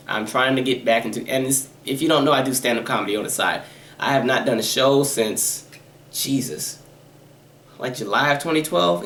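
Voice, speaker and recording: Fast-talking nasal man, echoey room. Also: fairly bright tone, slight background noise. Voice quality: high-pitched, nasal voice. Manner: talks fast and rambles, with many fillers and asides. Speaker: YouTuber answering viewer questions. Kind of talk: casual Q&A vlog.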